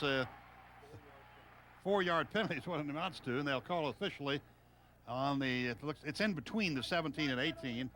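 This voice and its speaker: An American man calling live college football on the radio in clipped, running phrases. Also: fairly high pitch. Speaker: radio play-by-play announcer. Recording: noisy live stadium radio broadcast.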